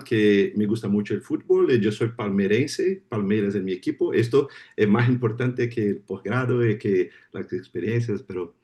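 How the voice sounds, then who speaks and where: A Brazilian man whose first language is Portuguese, opening a lecture by video call in friendly, halting Spanish full of repeated words. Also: lowish voice, warm tone. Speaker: guest speaker. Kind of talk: informal talk opening a lecture.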